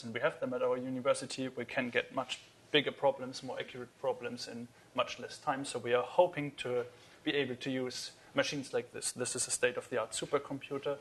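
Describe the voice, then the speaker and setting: A young man presents his work at a conference in a lecture hall. He explains earnestly, pausing on fillers and restarting sentences, in a middle-pitched voice. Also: steady tone. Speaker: conference speaker. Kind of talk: technical conference talk.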